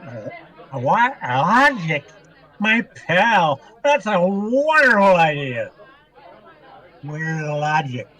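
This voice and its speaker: A man's voice: on the high side, sing-song, slow pace, bright, forceful tone, some chatter behind, full character voice, poor audio. A man playing a maudlin, over-familiar barfly in a radio comedy, slurring and repeating himself in a drunken ramble. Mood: gleeful, wheedling. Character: maudlin, happily drunk male barfly pestering strangers.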